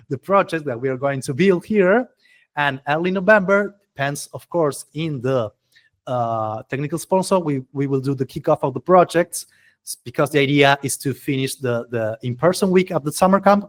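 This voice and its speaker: Man presenting informally, halting and enthusiastic. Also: on the high side. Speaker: meetup host presenting project proposals. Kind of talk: informal presentation to a tech community.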